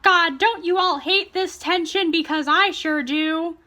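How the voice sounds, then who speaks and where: A woman mockingly voicing a frantic, eager young heroine in a shrill, breathless, overexcited voice. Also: measured pace, sharp tone, full character voice. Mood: whiny annoyance. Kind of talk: mocking parody reading.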